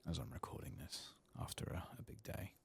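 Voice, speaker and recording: A man softly narrating his video game in ASMR style, tired and casual, muttering to himself and drifting into whispers. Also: fairly quick. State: tired, late at night. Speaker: host of a game playthrough. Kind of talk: soft-spoken ASMR gameplay commentary. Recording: faint background noise.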